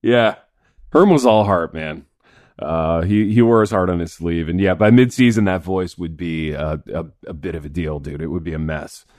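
Raspy guy hosting a sports podcast, low voice, chill and chummy, dry studio. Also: moderate pace, darkish tone, dry recording. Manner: laid-back, chummy, says dude a lot. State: raspy this morning. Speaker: podcast host. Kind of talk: casual sports chat.

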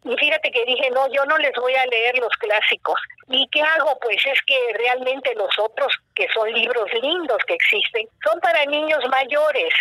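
Older lady from guatemala, bright higher voice, dry sound, chatty and playful. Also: unhurried, few pauses, dry recording, poor audio. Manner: warm storytelling, animated, playful. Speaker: guest, children's writer. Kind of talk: casual interview.

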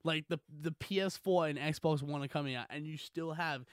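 A man's voice: a little high, normal speed, clear, resonant tone, no room sound, studio quality. A young man co-hosting a podcast in casual banter, talking in loose, slangy phrases full of fillers. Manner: slangy, filler-heavy, frequently says "like" and "dude". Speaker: podcast co-host.

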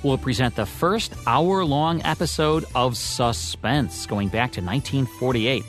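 Male American radio co-host, scripted narration, medium pitch, music underneath. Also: measured pace, a bit bright. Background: music bed.

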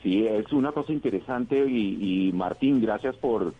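A man hosting a radio talk show, speaking haltingly, repeating words, thanking and praising his guest. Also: lowish voice, husky, narrow, tinny audio, soft background music.

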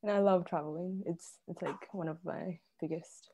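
A woman's voice: on the low side, steady tone, moderate pace, mellow, muffled recording, slight background noise. A young woman from Taiwan chatting casually on a student podcast, giggly and full of "like". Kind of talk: casual chat.

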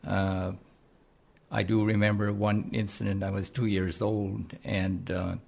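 A man in his seventies from the Philippines speaks with a Philippine accent. His voice sits low, gravelly and husky. He talks haltingly, with frequent pauses. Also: level tone, darkish tone. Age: 70s. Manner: hesitant, halting with many pauses. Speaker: interview guest, retired army colonel. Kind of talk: conversational interview.

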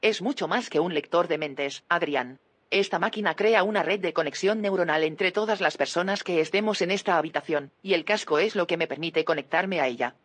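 A woman's voice: fairly low pitch, fairly quick, fairly bright tone, breathy edge, no echo. Focused lady inventor in a spanish radio play, explains things carefully, dry recording. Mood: proud, explanatory. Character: focused female scientist inventor demonstrating her machine. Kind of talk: scripted audio drama dialogue.

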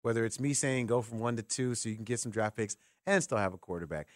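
A man's voice: lowish voice, quick pace, dry recording, clean studio sound. A man hosting a sports call-in radio show, talking casually and giving opinions, repeating words for emphasis. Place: radio studio. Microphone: close studio mic.

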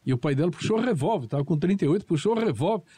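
An older man, a former TV director, telling lively anecdotes and acting out the orders he once gave. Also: on the high side, very fast, breathy edge. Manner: lively, acts out shouted commands in retelling. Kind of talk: anecdotal storytelling.

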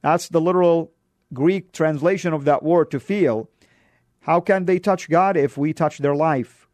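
Guy preaching on his radio show, emphatic, pitch jumps up on key words, dry sound. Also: unhurried, darkish tone, no echo. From Saudi Arabia. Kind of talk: radio sermon exhortation.

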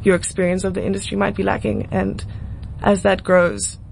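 A woman's voice: mid-range voice, very animated, moderate pace, creaky, a little hiss or hum. A South African woman in her twenties talking casually and informally as an interview guest. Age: 20s. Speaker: singer-songwriter guest.

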